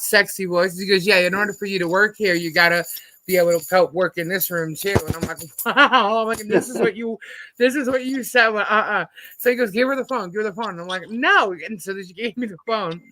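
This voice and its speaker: A middle-aged American woman with a husky, deep-set voice that still cuts through brightly. She chats casually and bluntly, acting out bits of dialogue as she rambles through anecdotes. The sound is close and dry. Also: brisk, dry recording, poor audio. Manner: blunt, slangy, animatedly quotes herself and others. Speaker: host of a live panel stream.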